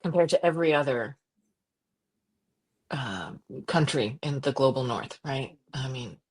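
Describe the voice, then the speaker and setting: A middle-aged woman from Croatia, a journalist guest talking passionately in an interview, with frequent restarts and quick laughs. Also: slightly rough. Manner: animated, impassioned, jumps to high pitch for quoted voices. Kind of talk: conversational interview.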